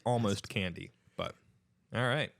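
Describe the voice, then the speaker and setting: A man's voice: on the low side, measured pace, vocal fry, a bit bright. A young adult man hosting a podcast, chatting casually with friends. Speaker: podcast host. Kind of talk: casual chat among friends.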